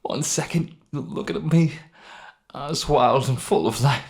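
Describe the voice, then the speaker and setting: A man's voice: mid-range voice, vocal fry, voice pushed up. Man acting out an anguished, guilt-ridden criminal, voice raised. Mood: anguished, grieving. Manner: emotional, halting, pleading monologue. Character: anguished, guilt-ridden male criminal grieving his brother. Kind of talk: dramatic monologue in an audio story.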